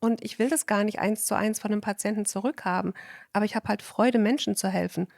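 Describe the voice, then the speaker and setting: A woman's voice: mid-range voice, fairly even intonation, quick pace, vocal fry, a little mellow, dry recording, studio quality. A woman physician, a guest on a podcast, explaining her views at length in casual conversation. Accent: German.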